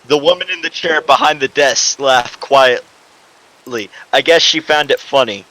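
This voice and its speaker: A man's voice: mid-range voice, a little slow, bright, forceful tone, poor audio. A young man reading a story aloud to friends in an exasperated, stumbling way. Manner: exasperated, stumbling over lines. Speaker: reader of a fan story. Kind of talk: dramatic reading among friends, with asides.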